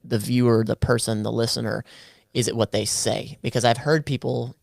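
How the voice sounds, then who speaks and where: Gravelly man hosting a podcast. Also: medium pitch, flat delivery, normal speed, darkish tone, dry recording, clean studio sound. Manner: encouraging, brief prompts. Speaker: podcast host. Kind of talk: casual interview chat.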